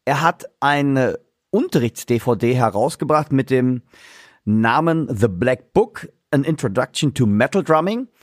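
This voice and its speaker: Chatty German podcast host, dry sound. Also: male voice, medium pitch, animated, a little slow, no room sound. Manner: chatty, loose, with many fillers and restarts. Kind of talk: casual podcast interview.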